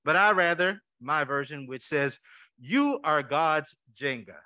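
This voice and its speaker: A man's voice: on the high side, expressive, a little slow, sharp tone, no echo. A man preaching a conversational sermon to his church, asking the congregation questions and adding light jokes. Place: church sanctuary.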